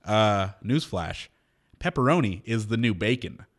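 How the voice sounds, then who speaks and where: Man, radio host, hoarse, wry and joking, pitch jumping around a lot, studio mic. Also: lively intonation, a little slow. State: hoarse from a lingering cold. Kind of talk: casual radio banter.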